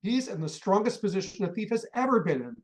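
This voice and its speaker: A man's voice: high-pitched, very animated, fast talker. An actor playing an irascible, blustering middle-aged father and obsessive collector in a comic radio play, ranting indignantly. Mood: indignant, sarcastic.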